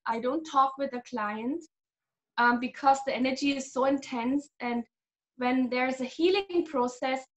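A woman in her thirties from Germany, a guest healer talking animatedly over a video call and laughing often. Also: a little high. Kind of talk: casual video-call interview. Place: small room at home.

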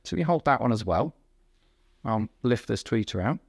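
A man in his thirties giving an informal technical explanation, haltingly rephrasing as he goes. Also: mid-range voice, animated, vocal fry, a little mellow, husky. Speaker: technical director explaining a product. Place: indoor company workroom.

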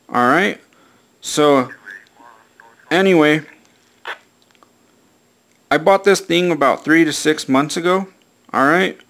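Gravelly-voiced man giving a casual review. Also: fairly high pitch, a bit bright, rough recording. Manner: plain-spoken, repetitive, frequent "all right". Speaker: amateur product reviewer. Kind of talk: casual hands-on product review.

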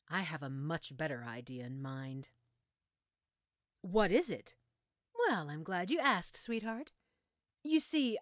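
A woman's voice: fairly low pitch, fairly bright tone, no room sound, clean studio sound. A woman playing a menacing, gloating female mad scientist in an audio roleplay, with a theatrical, taunting manner. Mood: menacing, teasing. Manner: mocking, theatrical, taunting endearments. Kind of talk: audio roleplay acting addressed to listener.

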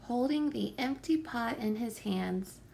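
A woman reading a children's picture book aloud as a teacher would, with careful, expressive storytelling emphasis. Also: fairly high pitch, unhurried, vocal fry, low-quality recording.

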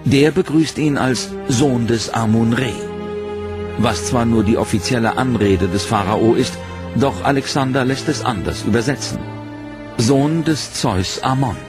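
A man reads a history documentary narration with a measured, serious delivery. His voice sits at an ordinary middle pitch, and a prominent dramatic music bed runs underneath him throughout.

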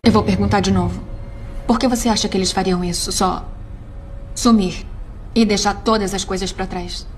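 A woman's voice: mid-range voice, fairly even intonation, lightly acted. Dubbed drama, actress as female interrogator: Brazilian accent, medium register, composed, probing, persistent; light noise on the track. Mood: calm, insistent. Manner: measured, probing. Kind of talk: dramatic film dubbing.

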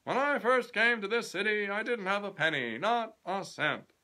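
A man reading a story aloud and voicing a loud, self-important older company president who lectures about his rules for success. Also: high voice, animated, moderate pace, voice pushed up. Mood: pompous.

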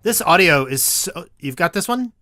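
A man with a fairly high, forward and bright voice plays along as a gaming partner. His banter is casual and dryly playful, picked up close with very little room sound. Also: lively intonation, normal speed, no echo.

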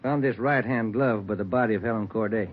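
An actor playing a steady police detective partner who makes dry remarks, in 1940s radio acting. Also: male voice, lowish voice, a little fast, husky. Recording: lo-fi 1940s radio broadcast with faint hiss.

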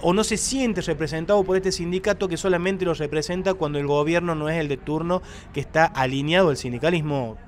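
A man's voice: on the high side, fairly quick, few pauses, slight background noise. A man with an Argentine accent, a street reporter asking long, argumentative leading questions. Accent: Argentine Spanish. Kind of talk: pointed interview questions. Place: city street outdoors.

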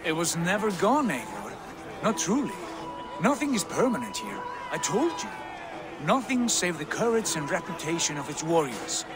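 A man's voice: a little high, big pitch swings, moderate pace. An actor playing an exultant Viking warrior in a video game, with a grand, declamatory way of savoring his words over music. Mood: reassuring. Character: exultant Viking warrior brother feasting in Valhalla. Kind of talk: dramatic video game acting.

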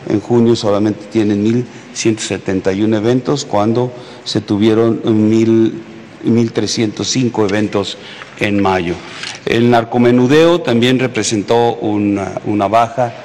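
Low male voice, Mexican accent, halting formal briefing, echoey hall, some background noise. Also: steady tone, a little mellow. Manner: halting, frequent hesitations. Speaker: military official giving a security briefing. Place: large indoor hall at a press conference. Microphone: podium microphone.

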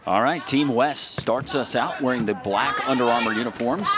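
A man's voice: medium pitch, moderate pace, fairly bright tone, a faint crowd. A man calling a volleyball broadcast in a polished, upbeat announcer manner, speaking into a handheld mic courtside in an indoor arena. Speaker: play-by-play announcer. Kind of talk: sports broadcast commentary. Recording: arena broadcast with music bed. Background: music.

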